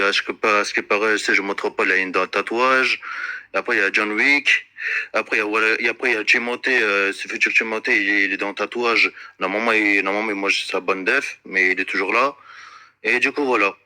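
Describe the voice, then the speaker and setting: A man making an unscripted, accusatory complaint in an insistent, repetitive and halting way, searching for words. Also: lowish voice, fairly even intonation, bright, forceful tone.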